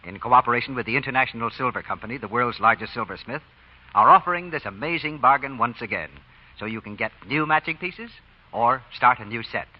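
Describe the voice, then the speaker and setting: A man announcing on 1940s radio, reading a scripted sponsor pitch with formal, emphatic enunciation. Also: animated, fairly quick. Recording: dull old radio recording.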